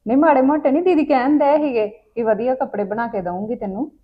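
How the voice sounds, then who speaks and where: A cheerful young wife laughs off advice with light teasing, played by a woman. Her voice is on the higher side, and the recording sounds somewhat dull and lo-fi. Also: quick pace, close to an everyday voice. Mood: playful. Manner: playful, teasing. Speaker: comedy sketch actor.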